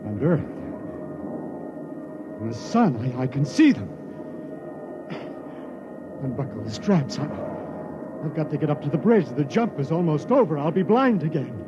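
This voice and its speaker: Distraught blinded crewman, overwrought and repetitive, higher-pitched, dull vintage radio sound with music behind. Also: very animated. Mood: feverish wonder. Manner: halting, repeating, overwrought delivery. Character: distraught blinded crewman, feverishly sure he can see. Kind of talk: 1950s radio drama acting.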